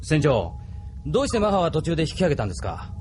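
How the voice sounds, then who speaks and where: A man's voice: on the high side, wildly swooping pitch, fast, a little mellow, faint music behind. An actor playing an earnest, worried young male bridge crewman in an anime audio drama, questioning his superior. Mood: puzzled, earnest.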